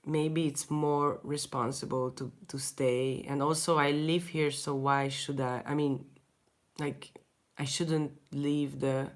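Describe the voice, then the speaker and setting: A young woman with a Hungarian accent, speaking English as a second language, chatting casually with viewers in a livestream Q&A, hesitant, with many restarts and soft laughs. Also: extremely low pitch, little pitch movement, slow pace. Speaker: artist answering viewer questions.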